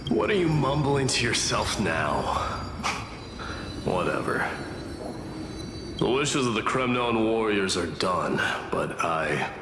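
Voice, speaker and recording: A man's voice: on the low side, background noise. A man voicing a proud, brash warrior in a fantasy game, haughty and condescending. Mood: mocking. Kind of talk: dubbed fantasy game voice acting. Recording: cavernous reverb, music behind.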